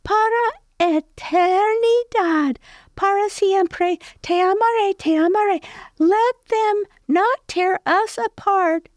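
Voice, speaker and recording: Girlish tragic heroine, extremely high pitch, breathless, pleading, melodramatic, drawn-out, radio play. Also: no room sound. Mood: impassioned pleading. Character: teenage girl singer overacting a tragic stage heroine. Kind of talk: theatrical radio play acting.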